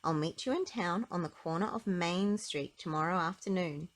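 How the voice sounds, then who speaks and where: A woman voicing a brisk, exacting, tidy little lady in a children's storybook, with emphatic delivery. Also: on the low side, lightly acted.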